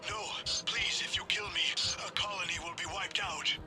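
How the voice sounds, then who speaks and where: A man's voice: high-pitched, steady tone, moderate pace, close to an everyday voice. Man playing a pleading alien ambassador over music. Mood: scared, pleading. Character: frightened, pleading male alien ambassador, bargaining for survival. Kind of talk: video game voice acting.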